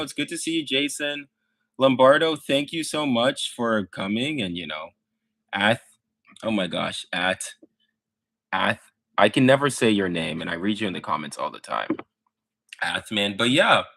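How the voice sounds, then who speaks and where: A man's voice: mid-range voice, fairly bright tone, dry recording. A young man with a Midwestern American accent, chatting in a rambling, laid-back way with lots of fillers on a live stream. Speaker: livestreamer. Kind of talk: casual live stream chat to chat viewers.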